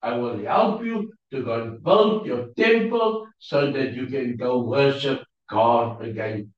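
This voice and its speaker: A man's voice: mid-range voice, animated. Afrikaans-accented man preaching in an echoey hall. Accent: South African Afrikaans. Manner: halting, searching for English words with many fillers. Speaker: preacher teaching a Bible class. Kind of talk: Bible class teaching. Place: church hall. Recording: distant, roomy, dull lo-fi sound.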